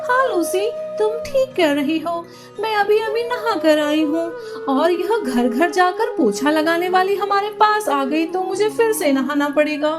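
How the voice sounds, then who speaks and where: Woman voicing a very high, fussy cartoon bird. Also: animated, a little slow, over music. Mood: disdainful. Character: vain, fussy female bird neighbour. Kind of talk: Hindi children's cartoon dubbing.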